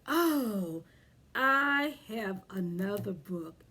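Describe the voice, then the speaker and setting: A woman's voice: medium pitch, lively intonation, very slow, sharp tone, slightly rough. A woman leading a children's storytime, reading aloud and chatting expressively to young listeners.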